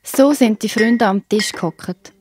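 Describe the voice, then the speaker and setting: A woman's voice: fairly high pitch, a little slow, darkish tone, a little hiss or hum. A woman with a Swiss German accent narrating a scripted Bible story for children.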